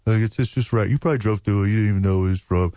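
A man from Texas with a fairly low voice riffs through a casual sports podcast with dry, teasing humor. The sound is close and dead, with no room around it. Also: very fast, mellow, dry recording. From Texas, USA. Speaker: podcast co-host.

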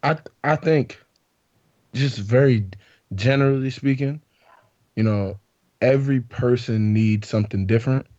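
Mellow, low man in a dry room. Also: unhurried, pauses often, no room sound, dull audio. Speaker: podcast co-host. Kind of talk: casual chat.

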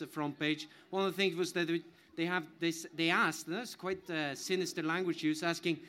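A man's voice: a little high, fairly bright tone. A man speaking off the cuff as an academic guest in a discussion, often restarting his phrases. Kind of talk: spontaneous panel explanation.

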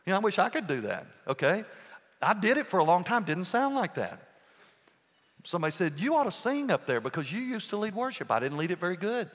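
Animated pastor in a reverberant church. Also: male voice, high voice, brisk. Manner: animated, emphatic preaching with rhetorical questions. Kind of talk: sermon. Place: church sanctuary. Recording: long reverb in a church hall.

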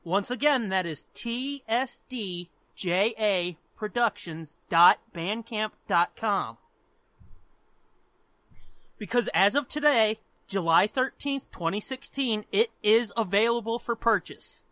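A man with a high, bright voice talks as a podcast co-host in a close, dry recording. His delivery is casual and unpolished, with plenty of swearing. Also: takes it slowly, no echo. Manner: informal, swears often.

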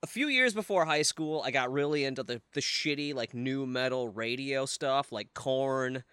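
A young man co-hosting a casual comedy podcast, animated and joking, swearing freely, with a high, slightly nasal little-brother voice. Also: normal speed, clean tone, no room sound, studio quality. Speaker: podcast co-host.

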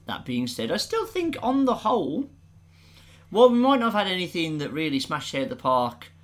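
A man's voice: a little high, big pitch swings, moderate pace. A man co-hosting a casual film podcast, joking playfully and often trailing off mid-thought. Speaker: podcast co-host.

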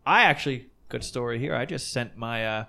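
A man in his late twenties hosts a loose podcast conversation. He rambles with excitement and swears offhandedly, his voice sitting at an ordinary middle pitch. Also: fairly quick, creaky. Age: late 20s. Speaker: podcast host. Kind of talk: casual chat.

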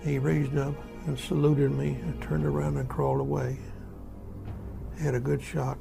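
An elderly American war veteran recounting his memories in a folksy, halting, repetitive way. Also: mid-range voice, flat delivery, gravelly, music in the background. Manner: folksy, halting, repeats himself. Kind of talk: spoken first-person war reminiscence.

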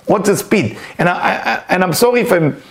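A man with a fairly high voice talks through a spiritual text in an earnest, informal way. His first language is Hebrew, and he often repeats his points and poses questions aloud as he teaches. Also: lively intonation, normal speed, gravelly. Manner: earnest, repetitive, asks himself questions aloud. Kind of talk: informal spiritual lesson, reading and explaining.

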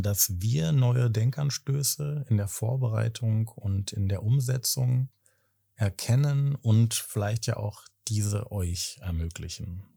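A man in his thirties from Berlin, co-hosting a podcast and explaining things in a measured, slightly formal way. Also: lowish voice, creaky, warm tone, slightly rough. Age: 30s. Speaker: podcast co-host. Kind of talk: casual podcast talk.

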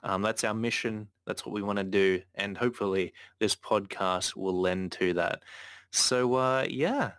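A man's voice: fairly low pitch, measured pace, fairly bright tone, clear, resonant tone, dry recording, clean studio sound. A man hosting a casual podcast, talking earnestly with frequent self-corrections. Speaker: podcast host.

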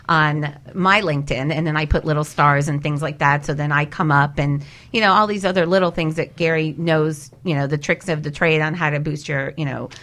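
Chatty woman with a lowish voice. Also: a bit bright. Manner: chatty, illustrates points with acted-out quotes. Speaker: guest resume expert. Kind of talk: casual advice in a panel discussion.

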